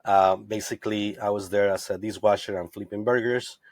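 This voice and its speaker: A lowish-voiced man from Ecuador recounts his path in the drinks trade as a podcast guest. He talks in an earnest, storytelling way, dotted with "you know". The sound is close and dry, with no room around it. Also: fairly even intonation, brisk, darkish tone, no room sound. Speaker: guest, tequila brand representative. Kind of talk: casual podcast interview.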